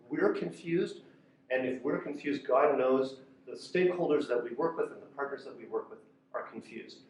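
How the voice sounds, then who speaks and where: A man's voice: medium pitch, slight background noise. A man giving a conversational talk with slides to a room, using fillers and restarts as he explains. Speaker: conference speaker. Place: lecture room. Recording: echoey room from far off.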